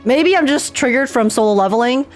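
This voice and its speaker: High-voiced, excitable woman streamer. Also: fairly quick. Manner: gushing, excitable, slangy. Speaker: streamer reacting to a video game. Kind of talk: casual live gameplay reaction commentary. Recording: game music behind the voice. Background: video game music.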